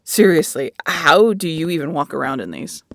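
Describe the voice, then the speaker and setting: A woman voicing a snarky, excitable young scientist in an audio roleplay, chatty and nerdy. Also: sits low, moderate pace, gravelly, a little hiss or hum, full character voice. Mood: exasperated. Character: snarky, excitable young female scientist aboard a pirate ship. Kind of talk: audio roleplay acting addressed to the listener.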